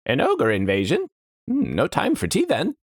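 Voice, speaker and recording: A man voicing a whimsical, smirking trickster gentleman in a theatrical, lilting way for a fantasy story. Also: a little high, wildly swooping pitch, normal speed, husky, no echo, clean studio sound, voice pushed up, full character voice. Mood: airy.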